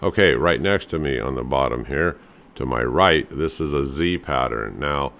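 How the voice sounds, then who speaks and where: A middle-aged man hosting a home handyman show, casually explaining table leg designs over photos with a relaxed, plain-spoken manner and lots of "you know.". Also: rumbling low, normal speed.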